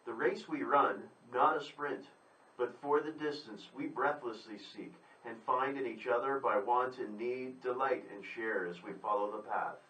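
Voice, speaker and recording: A man's voice: mid-range voice, normal speed, sharp tone, distant mic. A man speaking as a poet and guest on live radio, talking and reading his work. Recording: echoey room, away from mic.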